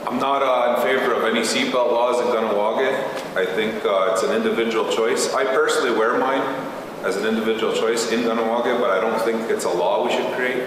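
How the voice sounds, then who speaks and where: A man giving his opinion at a meeting in a measured, hesitant way. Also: mid-range voice, steady tone. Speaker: meeting participant. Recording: distant, very echoey, noisy room.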